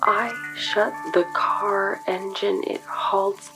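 A woman's voice: mid-range voice, big pitch swings, music in the background, exaggerated voice, low-quality recording. A haunted young woman, whispering. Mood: trancelike. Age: young adult. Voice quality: breathy whisper. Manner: hushed, slow, trancelike. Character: haunted young woman murmuring dreamlike visions. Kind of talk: whispered inner monologue.

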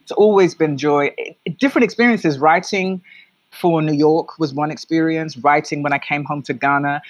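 A woman with a London British accent telling stories as an interview guest, in an expressive, emphatic conversational way. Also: sits low, moderate pace, no echo. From London, England. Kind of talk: reflective conversational storytelling.

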